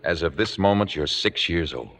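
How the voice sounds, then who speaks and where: A man's voice: low-pitched, expressive, moderate pace, vocal fry, fairly bright tone, exaggerated voice. An American man playing a brash, opinionated young theater director in old radio drama style, emphatic and wry. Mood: wry, affectionate. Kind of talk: 1950s radio drama acting.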